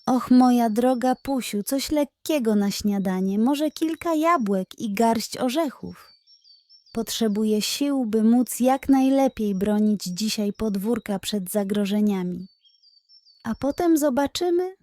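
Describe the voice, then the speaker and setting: A woman's voice: fairly high pitch, lively intonation, measured pace, mellow, no room sound. A woman voicing a lazy, sly male pug who poses as a king in a children's story, talking pompously. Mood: lordly, languid. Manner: pompous, self-important.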